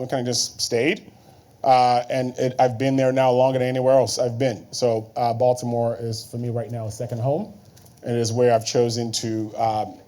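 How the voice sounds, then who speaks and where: A man from Nigeria, in his thirties, moderating a conference panel in a relaxed way with many fillers. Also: mid-range voice. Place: conference room.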